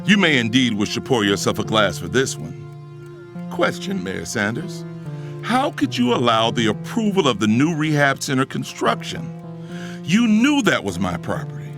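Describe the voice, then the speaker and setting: A man playing a shrewd, defiant businessman in an audio drama, smooth-talking and confrontational. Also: medium pitch, wildly swooping pitch, normal speed. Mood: cool, accusing. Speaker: first-person narrator and character. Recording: music playing behind the voice.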